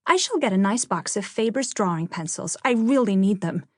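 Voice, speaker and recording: A woman's voice: mid-range voice, expressive, a little fast, no room sound, studio quality, natural voice. A woman voicing a prim, dramatic youngest sister, a little girl with an affected, self-important manner, in an audiobook. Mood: decided.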